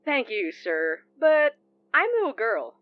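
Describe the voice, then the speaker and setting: Non-binary radio DJ, higher voice, slow polite delivery, composed, audio drama, close dry sound. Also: female voice, big pitch swings, no echo, highs cut off. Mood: friendly, amused. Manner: polite, unhurried. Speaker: radio show host. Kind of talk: conversational audio drama acting.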